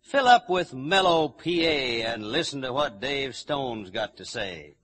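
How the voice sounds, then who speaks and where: A man's voice: medium pitch, expressive, measured pace, a bit bright, slightly rough. A man hosting a live 1930s radio show in a folksy, hearty manner, on an old broadcast recording.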